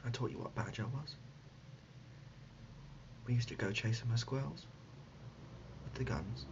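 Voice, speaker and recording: A man playing an absurd, deadpan dad in an ASMR comedy roleplay, speaking haltingly and gently, often dropping to a whisper. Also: mid-range voice, natural voice. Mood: deadpan insistent. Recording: dull lo-fi audio, loud background noise.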